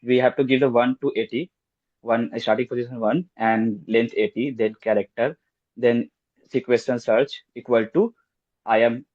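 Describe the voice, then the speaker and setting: A man's voice: mid-range voice, no room sound. A man giving a hesitant answer in a casual group discussion. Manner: hesitant, loose phrasing. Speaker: forum participant. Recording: dry, dull call audio.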